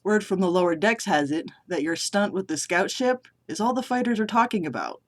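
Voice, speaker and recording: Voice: mid-range voice, expressive, fairly quick, close to an everyday voice. A vlogger reading aloud and voicing an older male military commander who is smug and manipulative. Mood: smug commanding. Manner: raised, louder and more forceful than own talk. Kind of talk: reading a story scene aloud.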